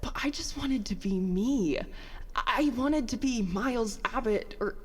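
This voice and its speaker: A woman's voice: on the high side, lively intonation, slightly rough. Weary, self-doubting young officer in cavernous reverb played by a woman. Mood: wounded, confiding. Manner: halting, rambling, self-deprecating. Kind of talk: audio drama acting, naturalistic confessional dialogue.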